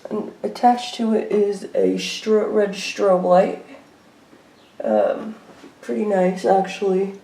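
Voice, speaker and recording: A woman giving a casual, unscripted show-and-tell at home in a halting way, as a hobbyist vlogger. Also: mid-range voice, lively intonation, vocal fry, mellow. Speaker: weather radio hobbyist vlogger. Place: indoor room at home. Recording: noisy homemade video with clicks.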